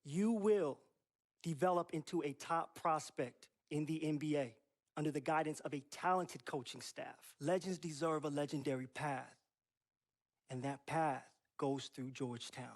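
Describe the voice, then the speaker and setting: An actor playing a proud, persuasive college basketball recruiter in a game, giving a measured, emphatic, polished pitch. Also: male voice.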